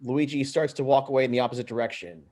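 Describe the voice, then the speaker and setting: A man's voice: medium pitch, fairly even intonation, fast, a little mellow. A man reading screenplay stage directions aloud as narrator. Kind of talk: read-aloud script narration.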